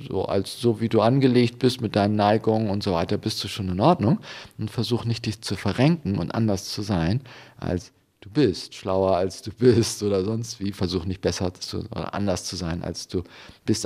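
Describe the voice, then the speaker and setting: Low-voiced man explaining spiritual ideas. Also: darkish tone. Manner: explanatory, seeks agreement with tag questions. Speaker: interview guest, spiritual devotee. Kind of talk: informal spiritual reflection.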